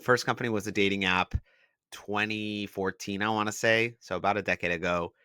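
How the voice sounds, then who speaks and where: Founder guy from israel and the bay area, lowish voice, sharp bright tone, says like a lot. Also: steady tone, fairly quick, smooth, dry recording, studio quality. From Israel and the San Francisco Bay Area. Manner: explains things with examples, frequent "like" fillers. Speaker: startup founder, guest. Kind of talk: casual interview storytelling.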